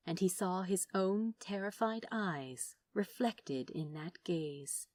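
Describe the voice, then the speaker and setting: A woman narrating fan fiction as a dramatic audiobook, with expressive, theatrical delivery and dramatic pauses. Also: medium pitch, no echo. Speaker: podfic narrator.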